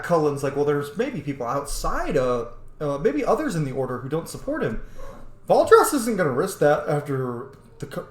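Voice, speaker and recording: A man's voice: on the high side, reverberant. A man giving casual, informal let's-play roleplay commentary with some swearing. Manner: informal, profane. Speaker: streamer, self-styled dungeon master.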